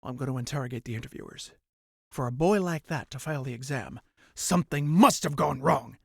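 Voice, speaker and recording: A narrator voicing a stern male officer who speaks low, quiet and clipped. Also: expressive, normal speed, vocal fry, slightly rough, no room sound, clean studio sound. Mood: grim.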